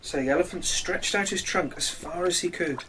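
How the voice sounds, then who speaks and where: A man's voice: high voice, moderate pace, sharp tone, slight background noise. A man in his thirties reading a children's storybook expressively, speaking simply to young listeners.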